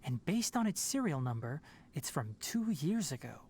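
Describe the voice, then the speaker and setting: A woman voicing a calm, analytical young male scholar in a video game, measured and precise as she explains things. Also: low voice, heavy background noise. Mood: explanatory.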